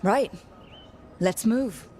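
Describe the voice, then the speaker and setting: An actress voicing a steady, resolute heroine who leads a team in a fantasy game, firm and rallying. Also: female voice, on the high side, very slow, slight background noise. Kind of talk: fantasy video game voice acting.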